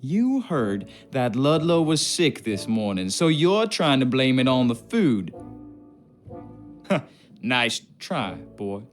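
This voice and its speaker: A domineering bosun in a period adventure game, taunting and commanding. His delivery is slow and swings widely in pitch, with music behind. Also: sing-song. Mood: mocking, stern. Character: gruff, mocking, bossy bosun on a merchant ship. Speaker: actor in a narrative adventure game. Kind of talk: theatrical period drama game acting.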